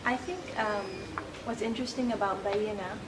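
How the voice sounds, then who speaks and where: A young woman answers interview questions in a thoughtful, unhurried way, often pausing to gather her words. Her tone stays flat and level throughout. She sounds set back from the microphone, with a clear wash of background noise. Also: mid-range voice, far from the mic. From born in Canada, raised in the United States. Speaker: interview guest, Quran instructor. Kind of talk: conversational interview.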